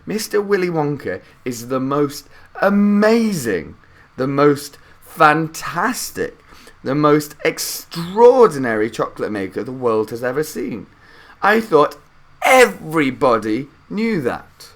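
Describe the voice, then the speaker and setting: Storybook grandfather, nineties, played by a man: eager, adoring, exclamatory, very animated delivery. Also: a little high, slow pace, pitched above the performer's own voice, full character voice. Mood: awed, emphatic. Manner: emphatic, exclamatory. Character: excitable, adoring very old grandfather.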